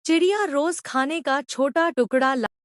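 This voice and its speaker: A woman's voice: sits high, a little slow, no echo, clean studio sound. A woman narrating a scripted moral story for children as a storyteller.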